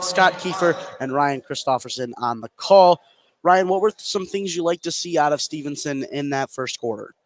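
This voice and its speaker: A man doing live play-by-play radio commentary of a basketball game. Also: on the high side. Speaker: play-by-play announcer. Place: indoor basketball gym during a game. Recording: clear gym noise behind the voice.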